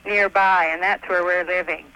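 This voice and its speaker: A woman's voice: on the low side, steady tone, normal speed, bright, forceful tone, a little hiss or hum, poor audio. A woman with a Canadian accent calling in to a radio show, cheerful and laughing often. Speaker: caller. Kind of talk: casual radio phone chat.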